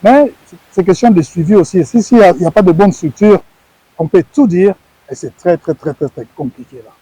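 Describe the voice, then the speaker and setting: A middle-aged man from Congo speaks with a somewhat high but mellow, darkish voice. He answers earnestly, often stumbling and repeating words as he finds his phrasing. The sound is dry and close. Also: a little fast, breathy edge, dry recording, rough recording. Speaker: former wrestler, guest. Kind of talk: TV sports interview answers.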